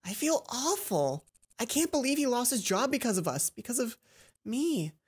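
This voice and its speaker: A woman's voice: on the high side, sing-song, normal speed, darkish tone, breathy edge, pitched above the performer's own voice. A woman voicing an earnest, emotional schoolgirl from a visual novel, secretive and guilt-ridden, with a light pleading delivery. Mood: guilty, resolute.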